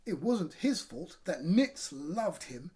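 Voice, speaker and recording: A man presenting a children's storytime, talking directly and encouragingly to young listeners and narrating in a lively way. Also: high-pitched, slow.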